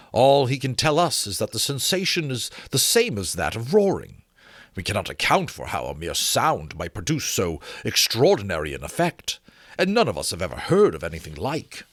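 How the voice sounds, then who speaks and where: A man's voice: fairly low pitch, a bit bright, dry recording, clean studio sound. A man voicing an earnest, dutiful officer who speaks formally and deferentially in a dramatic novel reading. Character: Lawrence, earnest, polite, dutiful officer and gentleman. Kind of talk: dramatic audiobook character reading.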